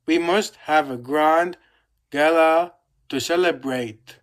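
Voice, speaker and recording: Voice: mid-range voice, slow, natural voice. A storybook narrator voicing a grand older male railway controller making formal, celebratory announcements.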